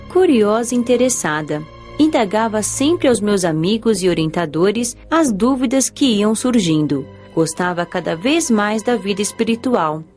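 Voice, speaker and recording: An actress voices an earnest young female spirit with a Brazilian accent, curious and expressive as she tells her story. Her pitch moves in lively waves over clear background music, in the manner of a dramatized audiobook. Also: close to an everyday voice.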